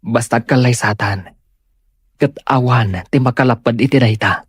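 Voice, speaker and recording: A man's voice: medium pitch, normal speed, breathy edge, no echo, studio quality, close to an everyday voice. A man playing a sincere, determined young suitor in an audio drama. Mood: earnest, determined. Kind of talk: Ilocano audio drama acting.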